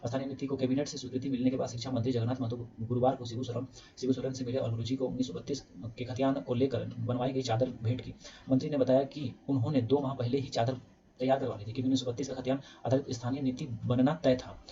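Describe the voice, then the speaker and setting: A man rattles through the news quickly in a mellow, darker voice. He reads from clippings, then adds easygoing spoken asides. The sound is dull and lo-fi. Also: mid-range voice, little pitch movement. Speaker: news explainer host. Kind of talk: casual explainer reading news aloud.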